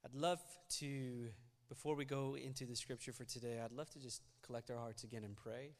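Young male pastor, first language Malayalam, earnest and chatty, mid-pitched, reverb-heavy church hall. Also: fairly even intonation, brisk. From United States. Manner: earnest, conversational, builds up energy while preaching. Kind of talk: sermon. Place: church sanctuary.

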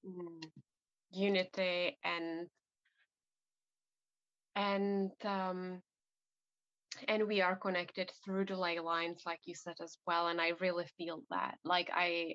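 A woman from the Republic, hosting an interview in an earnest, soft manner full of fillers. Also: fairly low pitch, dry recording. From Czech Republic. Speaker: podcast host. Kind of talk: reflective interview conversation. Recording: dull lo-fi sound.

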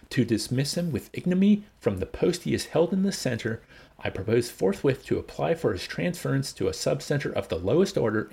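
A man's voice: mid-range voice, gravelly, darkish tone, breathy edge, exaggerated voice. A man voicing a pompous, accusing director in a declamatory, oratorical manner for an audiobook. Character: pompous, accusing male director making public denunciations. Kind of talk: dramatised audiobook reading.

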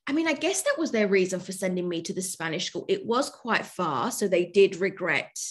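A woman's voice: medium pitch, animated, moderate pace. A woman, a podcast guest speaking reflectively and searching for her words. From London, England. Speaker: podcast guest, yoga teacher. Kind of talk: casual interview conversation.

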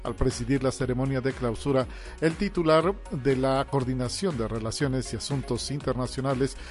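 A man's voice: medium pitch, normal speed, a little mellow. A man with a Mexican accent reading scripted radio news reports. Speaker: news reader. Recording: music bed behind the voice. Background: music.

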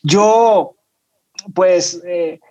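A man's voice: high voice, extremely slow, darkish tone, poor audio. A man from Venezuela with a Venezuelan Spanish accent, chatting as a guest on a podcast and often repeating words as he searches for the next one. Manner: talkative, repeats words while searching for them. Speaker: podcast guest, music executive. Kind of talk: casual interview chat.

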